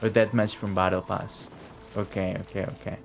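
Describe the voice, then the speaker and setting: A man with a fairly low voice gives casual live game commentary. He rambles and repeats himself, loosely sweary, with faint music behind him. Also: little pitch movement, moderate pace, creaky. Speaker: game streamer.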